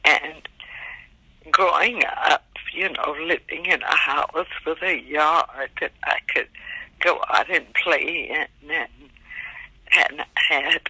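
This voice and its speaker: Old lady from alabama in her 80s, talking real slow, gravelly and bright, soft reflective pauses. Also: sits low, dry recording, low-quality recording. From Montgomery, Alabama. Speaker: interviewee, great-aunt. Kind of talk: recorded family oral history.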